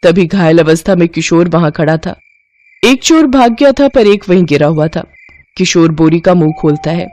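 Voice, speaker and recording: A woman's voice: fairly low pitch, lively intonation, normal speed, a little mellow, dry recording. A woman reading scripted narration for a moral bedtime story.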